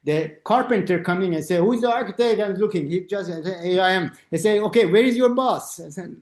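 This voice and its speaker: A middle-aged man, an architect being interviewed, speaking halting non-native English with many restarts and acting out the voices in his stories. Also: high-pitched, echoey room. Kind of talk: conversational interview. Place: lives in Austria.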